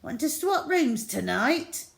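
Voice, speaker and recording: A mischievous young storybook boy, played by a woman, sly and cocky. The pitch is raised and swings about freely. He sounds falsely innocent, with a mocking edge. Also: very animated, slow, gravelly, full character voice. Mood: sly, smug. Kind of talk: children's storybook character voice.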